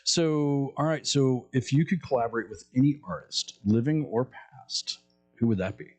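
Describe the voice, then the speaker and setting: A man with a deep voice hosting a casual podcast interview, asking easygoing questions. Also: moderate pace, faint music behind. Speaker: podcast host.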